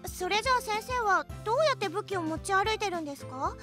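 A woman playing an excitable, cheeky, curious young academy student in an anime-style radio drama, exclaiming with wide-eyed reactions. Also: extremely high pitch, fast talker, faint music behind, heavily acted. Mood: flustered, curious.

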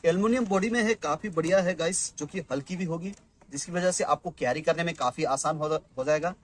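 A man's voice: a little high, a little hiss or hum. A man in his thirties, a vlogger chatting casually while he unboxes and shows camping gear, with many fillers and restarts. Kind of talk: casual product unboxing vlog. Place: indoors at home, later on an open rooftop outdoors.

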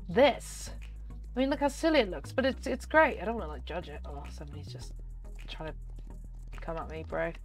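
Brief: young woman, hoarse from a cold, lively up-and-down gaming chatter, soft game music under. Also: medium pitch. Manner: chatty, talks to the game and viewers. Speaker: gaming channel host. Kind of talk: casual game commentary. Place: home room, indoors. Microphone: desk microphone on stand.

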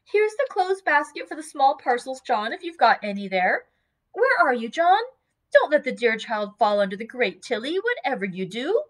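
A woman voicing a cheerful young wife who fusses and dotes, her voice going high and bright. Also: big pitch swings, normal speed. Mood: bustling. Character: young cheerful doting wife, chattering fondly.

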